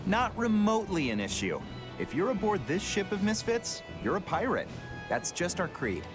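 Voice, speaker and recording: An actor playing a loyal, cheeky pirate crewman in a video game, chatty and expressive in an informal, colorful way. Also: sits high, normal speed. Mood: cheeky, musing. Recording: game music behind the voice.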